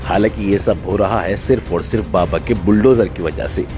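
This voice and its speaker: Low-pitched male news narrator over music. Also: normal speed. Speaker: news reporter and presenter. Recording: loud music bed behind voice. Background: background music.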